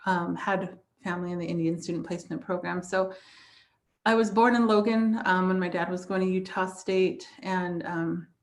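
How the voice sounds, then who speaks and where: A woman's voice: fairly low pitch, fairly even intonation, normal speed. An American woman in her forties from the Navajo Nation, talking reflectively about personal experience as a panelist. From Navajo Nation, southwestern United States.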